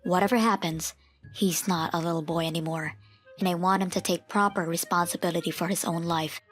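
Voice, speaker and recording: A woman playing a firm, principled mother in a manga dub, speaking in a stern, measured, matter-of-fact way. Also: fairly low pitch, steady tone, fairly bright tone, close to an everyday voice. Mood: resolved, reflective. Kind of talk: manga dub dialogue and first-person narration. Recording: music behind the voice.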